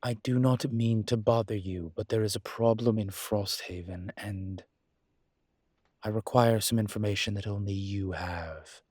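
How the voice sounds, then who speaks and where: A weary incubus demon , played by a man, speaks slowly in a deep-leaning, husky voice, recorded dry and close. He is earnest and diplomatic, imploring in halting phrases with dry asides, as in a dramatic audio roleplay. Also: fairly even intonation, darkish tone, no room sound. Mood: cautious, formal.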